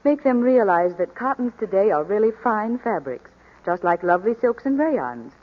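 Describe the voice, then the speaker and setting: A woman's voice: mid-range voice, very animated, normal speed. A woman speaking as a fashion reporter in scripted 1940s radio advertising chat. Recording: muffled 1940s radio broadcast.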